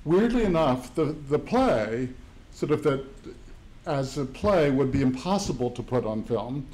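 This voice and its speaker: A man moderates a panel in a campus event hall. His tone is mellow and rounded, and he talks haltingly, circling back with "sort of" and "you know" before he lands a point. His pitch sits in an ordinary middle range. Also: lively intonation. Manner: halting, full of "sort of" fillers. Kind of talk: panel discussion.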